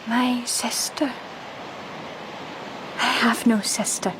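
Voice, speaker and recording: A woman's voice: fairly high pitch, steady tone, slow. An actress playing a dazed, despairing young mother in a radio drama, vague and dreamy, often slipping into whispers. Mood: dazed, distant. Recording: noisy ambience behind the voice.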